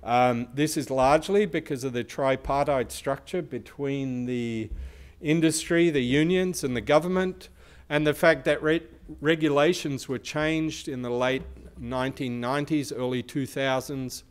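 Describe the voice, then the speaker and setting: A man with a big loud voice giving a conference presentation as a research institute speaker, explaining and asking the audience questions. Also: mid-range voice, unhurried, clear, resonant tone.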